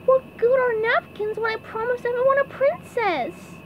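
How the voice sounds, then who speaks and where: A woman voicing an eager, naive young male cartoon sponge for a storybook, squeaky and excitable. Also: moderate pace, lo-fi sound, slight background noise. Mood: anxious, puzzled. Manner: excitable, pleading, sputtering when flustered.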